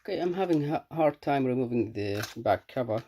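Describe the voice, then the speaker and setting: A man giving a casual, unscripted hands-on product review in a halting, matter-of-fact way. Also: medium pitch, lively intonation, warm tone, slight background noise.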